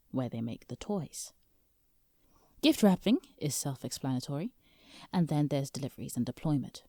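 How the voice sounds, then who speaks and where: A woman's voice: low-pitched, warm tone, no room sound, full character voice. A British woman playing an exasperated, businesslike lawyer in a scripted comedic audio drama, formal and dry. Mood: briefing, matter-of-fact. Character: exasperated, businesslike female lawyer for Santa Claus.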